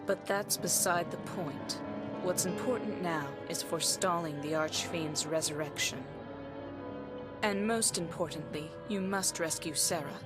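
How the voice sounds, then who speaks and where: An actress playing a grave elven fortune teller in a video game, speaking in a stately, portentous and formal manner. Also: female voice, lowish voice, unhurried, loud music behind the voice, natural voice. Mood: grave, urgent. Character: solemn elven fortune teller, grave adult woman prophetess. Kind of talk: dramatic game cutscene acting.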